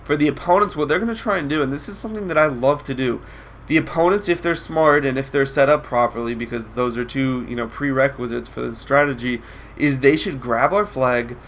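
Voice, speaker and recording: A man's voice: mid-range voice, fairly quick, fairly bright tone, slight background noise. Nasal sick young man rambling. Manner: rambling with many ums and restarts. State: sick, congested. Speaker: gameplay commentator. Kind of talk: casual gameplay commentary.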